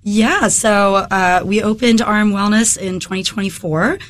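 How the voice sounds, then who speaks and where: A woman interview guest, a little hoarse from a head cold, explaining things conversationally. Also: mid-range voice, normal speed, fairly bright tone. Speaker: interview guest, wellness business owner.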